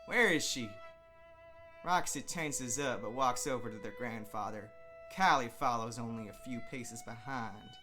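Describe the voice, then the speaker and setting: Bright-voiced dramatic narrator over music. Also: mid-range voice, normal speed. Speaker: amateur narrator reading own fan fiction. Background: background soundtrack music.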